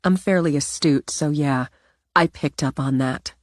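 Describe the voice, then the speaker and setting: A woman voicing a defiant young woman with a sharp, sarcastic delivery, a bit higher than her own voice. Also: a little slow, dry recording, clean studio sound. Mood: cutting. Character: young woman, cutting, defiant, proud sister.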